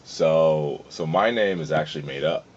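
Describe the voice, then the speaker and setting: A young man from Florida's Tampa Bay with a deep voice, chatting as a podcast guest in a laid-back way and laughing often. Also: moderate pace, a little mellow, a little hiss or hum. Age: young adult. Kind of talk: casual interview chat. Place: outdoor covered porch at a farm nursery. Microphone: phone recording on the table. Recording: slightly dull lo-fi phone audio.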